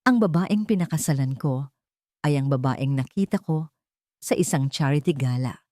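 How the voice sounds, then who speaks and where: A woman's voice: deep voice, moderate pace, mellow, dry recording, studio quality, lightly acted. Woman voicing earnest Filipino man in love, tender. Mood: proud, earnest. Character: devoted, steadfast young businessman in love.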